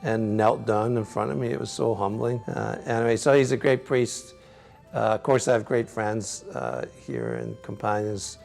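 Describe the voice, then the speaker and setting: A middle-aged Scottish man, a priest, answering interview questions in a gentle, reflective way with frequent soft laughs. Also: lowish voice, animated, normal speed, creaky, soft background music. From Scotland.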